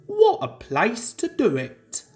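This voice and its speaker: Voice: medium pitch, huge pitch swings, takes it slowly, darkish tone, pitched above the performer's own voice. Someone acting an exclamatory male railway fireman. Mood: alarmed. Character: earnest male railway fireman, plain-spoken.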